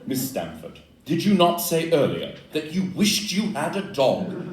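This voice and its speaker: A man playing a courteous, decisive Victorian gentleman detective in a stage audio play, speaking formally. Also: fairly high pitch, sing-song, measured pace. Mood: persuasive, decisive. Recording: echoey room, distant mic, faint noise.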